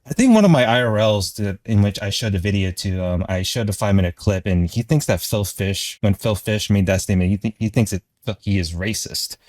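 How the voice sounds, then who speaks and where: Male video commentator, lowish register, dry sound. Unscripted, casual, rambling and hesitant. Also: lively intonation, a little fast, few pauses, darkish tone, no echo.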